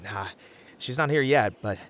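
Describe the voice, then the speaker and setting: A man's voice: medium pitch, unhurried, a bit bright, a little hiss or hum, natural voice. A man playing a cautious, sensible young camper in an ASMR roleplay drama, friendly and reasoning, wry and easily exasperated. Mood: friendly, hushed. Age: young adult.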